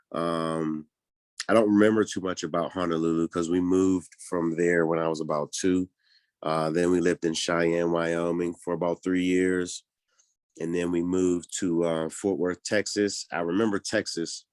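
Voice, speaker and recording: A man's voice: sits low, little pitch movement, moderate pace, smooth, no echo, clean studio sound. A man in his thirties from Pittsburgh, talking easygoingly as a podcast guest, with many ums and restarts. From Pittsburgh, United States. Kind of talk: casual interview talk.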